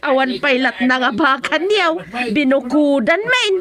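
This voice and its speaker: Sceptical village woman, high voice, Ilocano accent, comic radio serial. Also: expressive, measured pace, a crowd in the background. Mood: chatty. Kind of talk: radio drama comedy acting.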